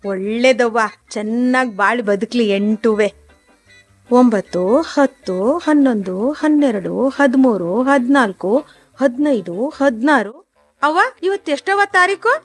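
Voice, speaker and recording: A woman's voice: on the high side, expressive, measured pace, soft background music. An actress voicing a chatty, sly old village woman who sells dung cakes, speaking in a rustic dialect and sweet-talking as she counts. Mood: wheedling. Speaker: dung-cake seller. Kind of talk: radio drama acting.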